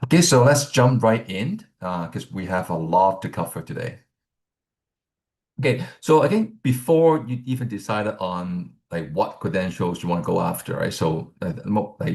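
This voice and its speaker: A man's voice: deep voice, creaky, darkish tone. A middle-aged man from Hong Kong, teaching about accounting credentials in a loose, rambling way, full of fillers and "right?" tags. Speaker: accounting exam instructor. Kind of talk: casual teaching talk.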